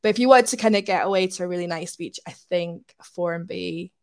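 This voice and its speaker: A woman from Scotland speaks as a guest in a casual interview. Her voice sits a little low, and she talks in a reflective, conversational way. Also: brisk, darkish tone. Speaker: podcast guest.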